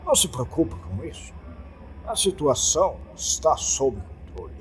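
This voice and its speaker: A man voicing a composed, secretive male employer in a horror story, speaking in a controlled, hushed way. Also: medium pitch, big pitch swings, a little slow. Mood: curt. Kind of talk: dramatic horror story reading. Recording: faint background noise.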